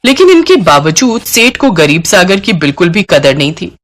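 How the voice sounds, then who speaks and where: Lively low-pitched woman narrator. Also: normal speed. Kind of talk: scripted storybook narration.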